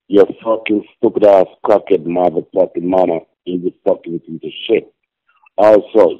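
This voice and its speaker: Low-voiced angry man on lo-fi voicemail. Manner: profane, insulting, hostile. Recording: dull lo-fi voicemail playback.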